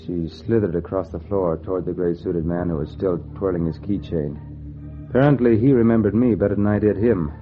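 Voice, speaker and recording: Man acting a low-voiced hard-boiled noir gambler, dull old recording. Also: brisk, close to an everyday voice. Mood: wry, tense. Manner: clipped, wry, slangy noir delivery. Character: hard-boiled, cocky male gambler, broke and money-hungry. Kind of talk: 1940s radio noir acting, first-person narration. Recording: dull vintage radio recording with music. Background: music.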